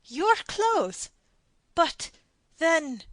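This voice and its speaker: A woman reading an audiobook and voicing a captured Spanish pirate nobleman who cries out in alarm, high and loud. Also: animated, moderate pace, dry recording. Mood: bewildered. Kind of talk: audiobook character voice.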